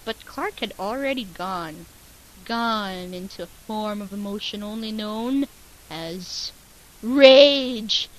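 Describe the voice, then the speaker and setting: A woman's voice: medium pitch, slow pace, low-quality recording. A woman narrating a fan fiction as a comic dramatic reading, with playful, exaggerated storytelling. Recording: hissy amateur home recording.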